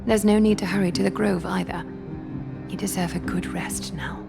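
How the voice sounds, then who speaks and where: A woman playing a composed, dignified noblewoman leader in a video game, speaking in a measured, formal, authoritative way. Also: lowish voice, fairly even intonation, gravelly, over loud music. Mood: gentle, grateful. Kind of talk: dramatic video game voice acting.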